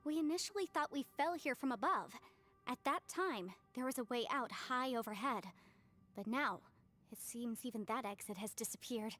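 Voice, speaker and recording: A woman's voice: a little high, normal speed, fairly bright tone, soft background music. A woman voicing a courteous, articulate young female adviser in a video game. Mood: puzzled. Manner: polite, articulate.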